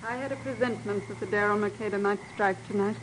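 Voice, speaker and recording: A woman's voice: medium pitch, quick pace, close to an everyday voice. An actress playing a grave Indonesian woman ally in a 1950s radio drama, formal, precise and composed. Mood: earnest. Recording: noisy old radio recording.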